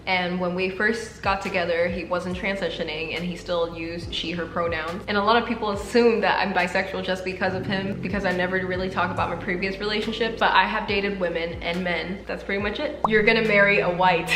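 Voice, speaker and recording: A woman's voice: fairly low pitch, fairly even intonation, fairly quick, hardly any pauses, reverberant. A young adult woman YouTuber chatting casually and candidly as she answers viewers' assumptions about her, laughing easily.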